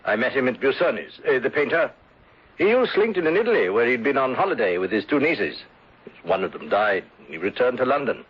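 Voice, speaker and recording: A lo-fi radio-play recording of a genial gentleman host. His medium-pitched voice is husky, and he talks in an easygoing, chatty, gently chiding way. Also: big pitch swings, brisk. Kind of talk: radio drama acting. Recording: dull, lo-fi 1970s radio recording.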